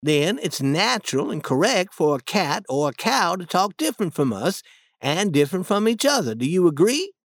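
A man's voice: high-pitched, big pitch swings, normal speed, no room sound, clean studio sound, full character voice. A man reading an audiobook, voicing a young boy who patiently reasons an argument step by step. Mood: reasoning.

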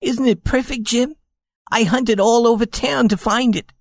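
A woman playing an eager, pleading young wife, higher-pitched. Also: a little slow, no echo. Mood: excited. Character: loving, eager young wife in a Christmas tale. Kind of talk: audiobook character dialogue.

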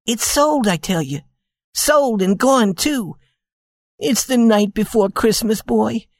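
An eager, devoted young wife from a storybook tale, played by a woman, speaks in a rather high voice. She is earnest and pleading, full of feeling. The sound is dry, with little room in it. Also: lively intonation, slow, a little mellow, no echo, heavily acted. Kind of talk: audiobook character dialogue.

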